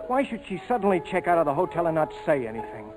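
An earnest young man in love in a 1940s radio play. His voice sits fairly high, sincere and plaintive, with a stubborn urgency. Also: big pitch swings, quick pace, over music, low-quality recording. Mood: worried, lovesick.

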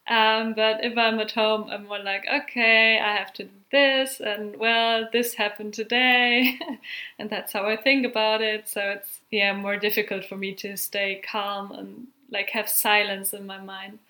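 A young woman whose first language is German talks through her answers in an interview. Her voice is bright and carries forward, and her phrasing is halting and reflective. She laughs easily between her thoughts. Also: mid-range voice, little pitch movement. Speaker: athlete guest.

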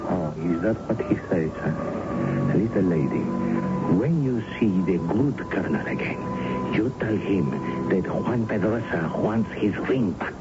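An actor playing a formal, insinuating Mexican silversmith, polite but menacing, in a 1970s radio drama. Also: male voice, low voice, animated, a little slow. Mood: sly, menacing. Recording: dull 1970s radio broadcast with music.

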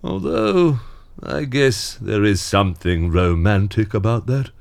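A man's voice: lowish voice, lively intonation, measured pace, vocal fry. A man playing a weary, sentimental Santa Claus with a grumpy, mischievous streak and a wistful, dry manner in an audio drama. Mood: dryly mischievous.

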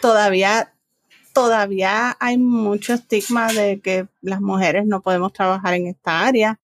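A woman's voice: medium pitch, fairly even intonation, normal speed, a little hiss or hum. A middle-aged woman with a Puerto Rican accent, answering conversationally as an interview guest. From Puerto Rico. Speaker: interview guest, business owner and trainer.

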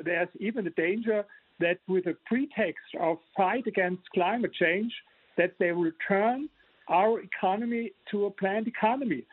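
A man's voice: sits high, slightly rough, no room sound. A man from Germany with a German accent, an author explaining his views in an earnest way with some restarts, heard over a remote line. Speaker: author guest. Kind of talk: remote interview. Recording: tinny phone-like line.

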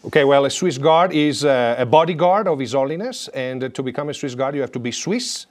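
Middle-aged man raised in Italy, interview guest, mid-pitched, animated good-humored storyteller. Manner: warm-humored, animated storytelling with brisk asides. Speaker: guest, former papal guard.

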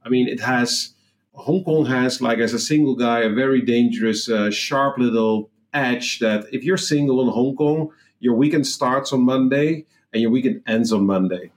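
A man's voice: mid-range voice, moderate pace. Dutch-accented man telling his story. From Netherlands. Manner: rambling, frequent restarts and fillers, enthusiastic. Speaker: guest entrepreneur. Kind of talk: casual storytelling interview.

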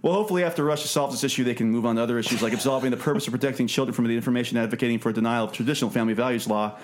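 A man's voice: mid-range voice, rapid-fire, slight background noise. A man co-hosting a podcast, chatting casually about films and reading out entertainment news in a wry, mock-formal way.

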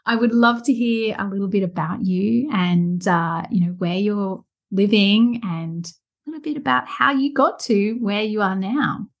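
A woman's voice: mid-range voice, measured pace, creaky. A woman, hosting a casual interview podcast in an enthusiastic, chatty way. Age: 40s. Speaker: podcast host.

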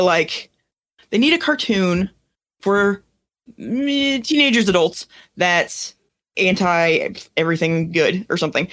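A woman's voice: lowish voice, a bit bright. A woman co-hosting a podcast, chatting casually in a hesitant, loosely worded way. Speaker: podcast co-host. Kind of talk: casual chat.

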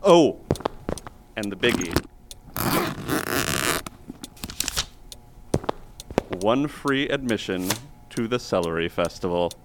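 Small-town mayor's aide, audio drama: chirpy, officious, awkward, very lively intonation. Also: male voice, on the low side, unhurried, heavy background noise. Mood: chatty, eager. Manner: officious, chirpy salesman-like patter. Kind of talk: fiction podcast acting.

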